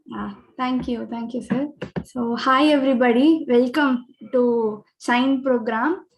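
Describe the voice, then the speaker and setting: A young woman welcomes everyone to an online meditation program in a high voice. She speaks earnestly and politely, with frequent fillers and restarts. The sound comes over a video call. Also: slow pace, low-quality recording. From Pollachi, Coimbatore district, India. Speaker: program host.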